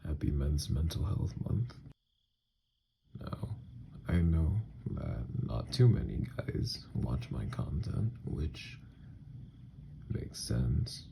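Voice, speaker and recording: Very deep, gravelly man speaking softly. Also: sing-song, slow, pauses often, noisy background. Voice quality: gravelly, very low. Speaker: ASMR voice actor addressing viewers. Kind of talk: soft, personal sign-off to listeners.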